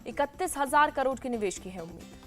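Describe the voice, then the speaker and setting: A woman news anchor with an Indian accent reading scripted, rapid-fire news headlines. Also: high-pitched, expressive, soft background music.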